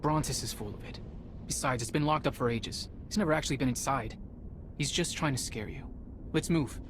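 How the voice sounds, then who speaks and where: An actor playing a stern, skeptical teenage Spartan brother in a video game, blunt and serious. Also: male voice, medium pitch, a little fast, noisy background, lightly acted. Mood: dismissive. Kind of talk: cinematic video game acting. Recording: music behind the voice.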